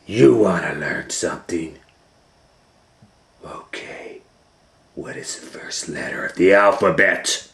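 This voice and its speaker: Man putting on a gruff, bossy gorilla teacher. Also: sits low, slow, long pauses, fairly bright tone, exaggerated voice. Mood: gruff, dismissive. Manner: gruff, curt, commanding. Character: grumpy, bossy gorilla schoolteacher. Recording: roomy echo.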